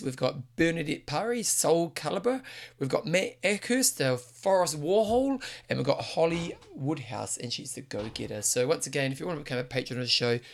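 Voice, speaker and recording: A man's voice: mid-range voice, creaky. A man hosting a podcast, talking in an upbeat, informal way and calling his listeners team. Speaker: podcast host. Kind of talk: casual podcast interview.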